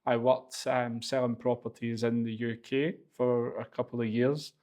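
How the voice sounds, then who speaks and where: Glaswegian man, 40s, even and flat delivery, relaxed personal story to camera. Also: medium pitch, normal speed. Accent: Glaswegian Scottish. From Glasgow, Scotland. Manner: casual, loose, frequent fillers. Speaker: real estate agency director.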